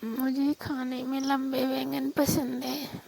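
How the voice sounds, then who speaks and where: High-voiced, coy young village wife acted by a woman. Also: level tone, slow pace, gravelly, background noise, heavily acted. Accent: Rajasthani. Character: shy, hesitant young married village sister-in-law on night call. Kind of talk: staged phone-call role-play in casual talk.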